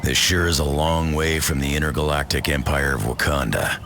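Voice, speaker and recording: Extremely deep, growling gruff brawler. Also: male voice, steady tone, normal speed, sharp tone, a little hiss or hum, exaggerated voice. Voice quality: growly, gravelly. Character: gruff, feral tough-guy mutant brawler. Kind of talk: video game voice acting.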